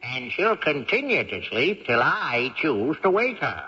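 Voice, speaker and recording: Casting: stubborn old great-grandfather ghost, self-satisfied and husky, swooping delivery, old radio drama. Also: mid-range voice, very animated, a little slow, pushed and bright, heavily acted. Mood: smug, unyielding. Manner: sly, self-satisfied, old-fashioned phrasing. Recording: dull old radio recording, long reverb.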